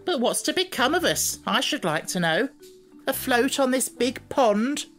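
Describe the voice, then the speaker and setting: A man voicing a small, fussy, matter-of-fact yellow hen in an audiobook, with a sharp, distinct voice. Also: very high-pitched, big pitch swings, measured pace, faint music behind, pitched above the performer's own voice, heavily acted. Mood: fretful. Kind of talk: audiobook character voice.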